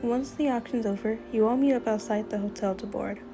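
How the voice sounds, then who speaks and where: A woman narrating a video game story in a measured, scripted style. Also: a little high, close to an everyday voice. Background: music.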